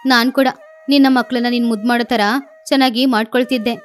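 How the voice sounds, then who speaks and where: Cartoon lady eagle, voiced by a woman: rich, childless, measured and earnest. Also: a little high, steady tone, soft background music, close to an everyday voice. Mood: wistful.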